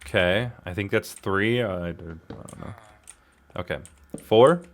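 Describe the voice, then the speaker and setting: A man's voice: fairly low pitch, unhurried. A man giving casual gameplay commentary, talking to himself in a slangy, self-deprecating, exclamatory way. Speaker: gaming video host.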